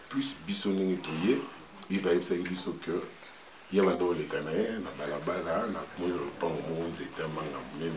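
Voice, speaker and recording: A man giving a measured, formal family speech at an engagement gathering, with frequent hesitations. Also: sits low, background noise. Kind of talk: formal family speech mixing Lingala and French. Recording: echoey room, distant mic, crowd.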